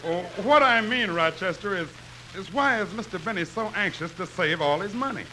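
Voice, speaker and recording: A man's voice: high voice, lively intonation, normal speed, bright, forceful tone, low-quality recording. A man playing an earnest, inquisitive household helper in a vintage radio comedy. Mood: earnest, curious. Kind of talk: 1950s radio comedy acting. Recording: vintage radio recording, faint noise.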